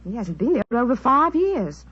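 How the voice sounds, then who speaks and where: A woman's voice: a little high, sing-song, fairly quick, slightly rough, no room sound. A woman playing a breezy, frank chorus girl turned island queen, chatty and candid, in 1940s radio style. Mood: coy, hopeful. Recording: dull vintage 1940s radio recording.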